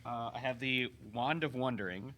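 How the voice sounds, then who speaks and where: Bright-voiced man reading out game items. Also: mid-range voice, normal speed, slight background noise. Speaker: tabletop game player. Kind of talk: casual read-aloud of game items.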